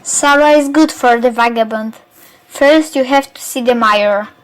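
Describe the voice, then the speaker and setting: A woman's voice: on the high side, measured pace, natural voice, rough recording. A young female student playing a protective, urgent male vagabond in a school radio play. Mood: reassuring. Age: child or teenager.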